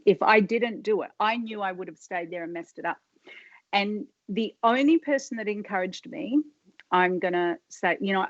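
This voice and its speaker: A woman's voice: mid-range voice, no echo. Middle-aged woman, podcast guest, rambling, lots of fillers, slightly dull sound. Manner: rambling, self-interrupting, many fillers. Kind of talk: casual interview storytelling.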